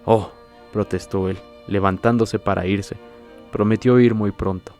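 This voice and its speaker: A man narrating a literary story in a measured, scripted audiobook reading. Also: lowish voice, steady tone, a little mellow, faint music behind.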